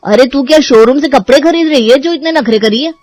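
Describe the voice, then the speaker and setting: A woman's voice: sits high, a little fast, a little mellow, no echo, lightly acted. A woman voicing a dutiful, exasperated young brother in a cartoon story. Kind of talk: Hindi cartoon story voice acting.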